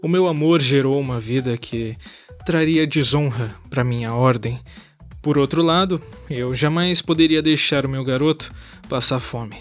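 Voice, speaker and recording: Male actor as honour-bound lancer, mid-pitched, haughty formal speech, mocking warrior, audio drama, music behind. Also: moderate pace, lightly acted. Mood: proud, defensive. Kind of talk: scripted audio drama acting.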